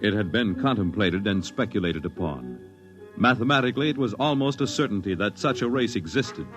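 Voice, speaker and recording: An American man narrating a 1950s radio drama in a portentous, measured, declamatory style. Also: lowish voice, expressive. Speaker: announcer and narrator. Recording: vintage radio recording over dramatic music. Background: loud dramatic music.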